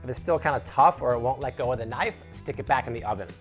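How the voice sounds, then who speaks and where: A man's voice: mid-range voice, quick pace, soft background music. A man in his thirties hosting an upbeat cooking tutorial in a home kitchen, playful and full of jokey asides. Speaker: cooking show host.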